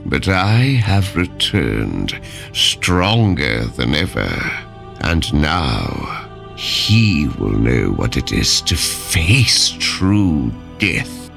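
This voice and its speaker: A slow, deep undead tyrant king. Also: big pitch swings, vocal fry, a bit bright, heavily acted. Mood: brooding menace. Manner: slow, brooding, grandiose pronouncements. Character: vengeful tyrant king returned from death, cold and menacing. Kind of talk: dark fantasy audio drama acting. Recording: music under the voice. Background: dramatic music.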